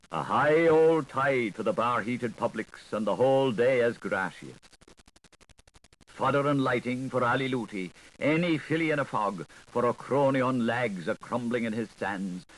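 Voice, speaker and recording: A man narrating a literary audiobook in an expressive, theatrical way, playful with wordplay and sound effects. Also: medium pitch, poor audio. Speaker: audiobook reader. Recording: 1980s audiobook recording with audible background noise.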